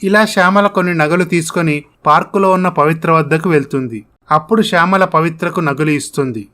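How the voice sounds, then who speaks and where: Storyteller with a medium-pitched voice. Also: a little fast, bright, forceful tone. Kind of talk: scripted story narration.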